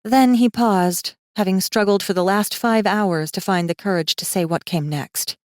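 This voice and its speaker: A woman's voice: mid-range voice, normal speed, dry recording, clean studio sound. A woman reading scripted fantasy fiction as an audiobook narrator.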